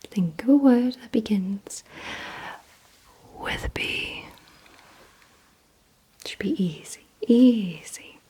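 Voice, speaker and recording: A woman whispering in a breathy, hushed ASMR roleplay style, giving deliberate instructions directly to the listener. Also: fairly high pitch, lively intonation. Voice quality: breathy, mostly unvoiced whisper. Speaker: ASMR performer.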